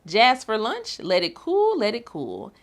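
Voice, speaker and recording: A woman reading a children's picture book aloud with a rhythmic, bouncy, expressive delivery. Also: a little high, a little slow. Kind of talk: animated storybook read-aloud.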